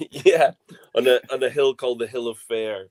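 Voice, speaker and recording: A man's voice: medium pitch, moderate pace, darkish tone. A man, a guest comic artist, chatting casually in an interview with lots of rambling restarts.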